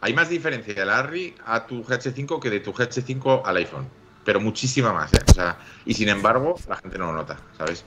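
A man's voice: lowish voice, lively intonation, brisk, fairly bright tone, soft background music. A middle-aged man with an accent from Spain, talking emphatically in a casual tech discussion on a video call. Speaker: livestream panelist. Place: indoors at home, video call.

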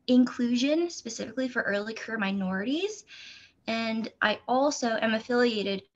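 A woman's voice: medium pitch, highs cut off. A woman introducing herself casually on an online panel over a video call. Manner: casual, relaxed with fillers.

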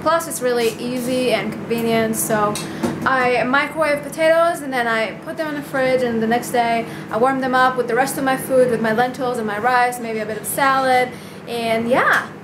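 A woman's voice: a little high, steady tone, moderate pace, noisy background. Bubbly young woman vlogging her cooking. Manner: bubbly, enthusiastic, tastes food on camera. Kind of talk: casual cooking vlog. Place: small apartment kitchen, indoors.

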